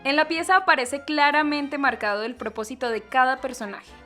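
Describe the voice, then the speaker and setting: Woman, scripted narration, high pitch, bright crisp edge, faint music bed. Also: steady tone, brisk.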